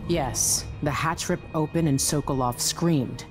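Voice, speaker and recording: Blunt, dry boat captain. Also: female voice, low-pitched, measured pace, music in the background. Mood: steady. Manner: dry, blunt, matter-of-fact. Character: gruff woman boat captain, blunt and practical. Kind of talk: video game cutscene dialogue.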